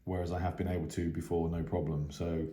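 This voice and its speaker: A man giving a casual, unscripted radio hobby news update in a hesitant, halting way. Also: low voice, level tone, warm tone. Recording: echoey, roomy sound.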